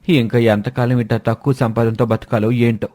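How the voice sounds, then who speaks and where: A man's voice: mid-range voice, flat delivery, a little fast, darkish tone, lightly acted. A man playing a greedy, defensive village donkey-milk seller and husband in a fairy tale. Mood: grumbling. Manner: defensive, complaining. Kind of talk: acted dialogue in a moral fairy tale.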